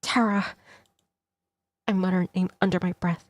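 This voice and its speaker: A woman streamer reading a visual novel aloud in dramatic first person, tense and expressive, in short clipped phrases. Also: fairly low pitch, normal speed, no echo. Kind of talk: dramatic first-person narration of a game script. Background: game soundtrack music.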